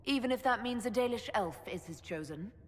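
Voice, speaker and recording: A woman's voice: on the high side, lively intonation, a little fast, lightly acted. An actress playing a wary, sceptical young female elf in a video game, speaking in a plain, doubtful way. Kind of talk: dramatic video game acting. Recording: echoey hall.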